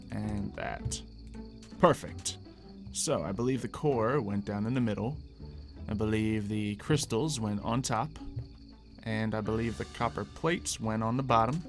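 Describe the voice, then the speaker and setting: A man doing gameplay commentary, thinking aloud and explaining each step with playful, exaggerated exclamations. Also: lowish voice. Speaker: gaming video host. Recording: music playing under the voice. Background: background music.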